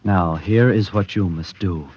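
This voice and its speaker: In a muffled old radio drama, a devoted husband voices his letter. His low voice moves very slowly and formally, earnest and persuasive toward his wife. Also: a little mellow, slightly rough. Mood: fond, persuasive.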